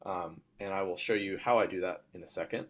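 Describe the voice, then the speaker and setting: A young adult man presenting as a student, walking through a software demo in an informal, explanatory way. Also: sits low, brisk. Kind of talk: casual software demo walkthrough.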